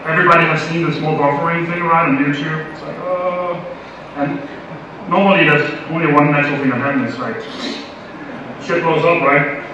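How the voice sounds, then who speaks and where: A man's voice: on the high side, steady tone, moderate pace. A young man from Denmark giving a casual, playful tech conference talk with many fillers. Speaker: conference speaker. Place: large conference hall. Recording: echoey hall from far, dull lo-fi audio, noisy.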